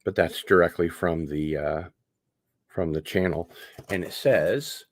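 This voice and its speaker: A man's voice: deep voice, measured pace. A man hosting a casual unboxing livestream, rambling along with wry asides. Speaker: host of an online show.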